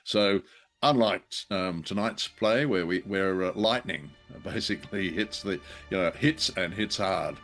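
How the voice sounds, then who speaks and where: A man co-hosting a show, discussing old radio drama casually in a hesitant, halting way. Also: low voice, a bit bright, soft background music. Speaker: podcast co-host.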